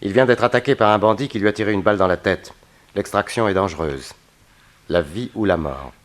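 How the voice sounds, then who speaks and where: A man plays a grave hospital doctor in an old radio drama. His voice sits quite low, and he speaks with measured authority. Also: natural voice. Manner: measured, serious. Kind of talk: 1960s French radio drama acting.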